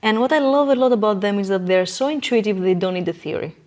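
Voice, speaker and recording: A woman's voice: mid-range voice, expressive, fast talker, a little mellow. A woman speaking as an interview guest in a podcast conversation, explaining in an earnest, emphatic way and checking in with small tag questions.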